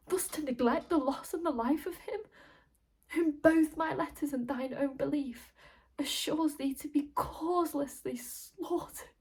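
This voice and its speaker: A woman's voice: high voice, darkish tone. An actress playing a grieving, vengeful noblewoman, delivering classical tragic verse in an intense, reproachful, declamatory way. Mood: anguished accusation. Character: grieving, vengeful noblewoman of Renaissance revenge tragedy. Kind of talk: classical verse tragedy monologue.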